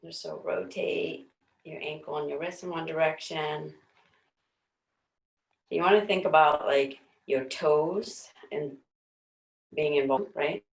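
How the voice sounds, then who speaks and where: Woman fitness instructor, low and gravelly, sleepy morning voice, calm cues, echoey room. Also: slow, long pauses, far from the mic. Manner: calm instructions with light laughs. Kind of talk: leading a warm-up class.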